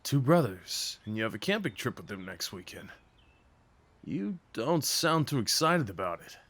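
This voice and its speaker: A man's voice: mid-range voice, dry recording. A young man acting a shy, sweet, apologetic date in an ASMR roleplay, talking hesitantly to the listener. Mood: friendly, tentative. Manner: hesitant, apologetic, rambling, sighs and nervous chuckles.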